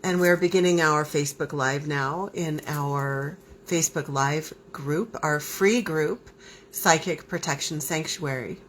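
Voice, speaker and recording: Slow, low-pitched woman, a bit hoarse. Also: slight background noise. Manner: unhurried, repeats questions, frequent ums. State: slightly hoarse from a cold. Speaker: host of a spiritual live stream. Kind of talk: casual live-stream talk to viewers. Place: home, southern Arizona.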